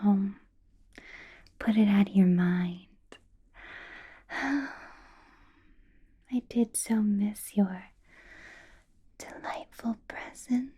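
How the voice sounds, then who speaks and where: A woman playing a doting yet menacing vampire boss in a breathy, whispered ASMR roleplay. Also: mid-range voice, warm tone, highs cut off, full character voice. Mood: doting, proud. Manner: slow, breathy whisper with silky, drawn-out phrasing.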